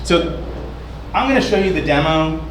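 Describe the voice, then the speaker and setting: A man's voice: fairly high pitch, lively intonation, moderate pace, a crowd in the background. A man giving a loose, chatty presentation of his app, with lots of fillers and restarts. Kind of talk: casual demo talk. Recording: echoey room with background noise.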